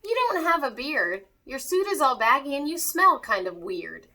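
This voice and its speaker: A woman's voice: high-pitched, sing-song, moderate pace, sharp tone, voice pushed up, exaggerated voice. A woman voicing a blunt, skeptical six-year-old boy in a storybook, full of questions. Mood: doubtful.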